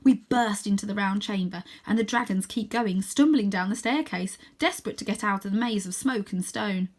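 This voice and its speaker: A woman narrating a children's story in a dramatic, expressive, suspenseful way with clear emphasis. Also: mid-range voice, normal speed. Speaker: storybook reader, teacher.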